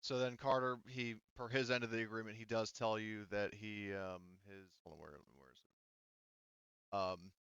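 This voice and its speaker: A man's voice: on the low side, fairly bright tone, smooth, no echo, dull audio. A man running a tabletop game as game master, talking casually and often stopping to correct himself as he narrates. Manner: halting, self-correcting.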